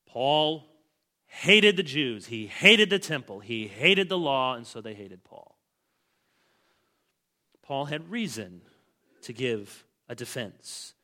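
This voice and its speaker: Middle-aged male pastor, bright and forceful, emphatic sermon delivery with sharp shifts in volume. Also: mid-range voice, sing-song, slow, long pauses. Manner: emphatic, with big swings in loudness. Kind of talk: expository sermon.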